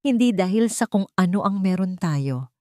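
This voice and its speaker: A woman's voice: medium pitch, animated, moderate pace, dark tone, no room sound, studio quality, natural voice. A woman with a Filipino accent voicing a loving, idealistic young daughter in an audio drama, earnest and tender.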